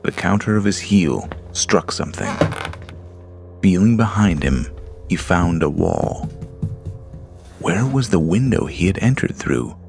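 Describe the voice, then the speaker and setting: A man narrating a horror story in a slow, deliberate storytelling manner. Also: deep voice, animated, gravelly. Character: Lars, a frightened man alone in a dark house. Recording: music under the voice.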